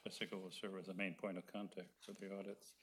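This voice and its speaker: A man on a review committee asking quick checking questions in a casual meeting. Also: deep voice, level tone, background noise.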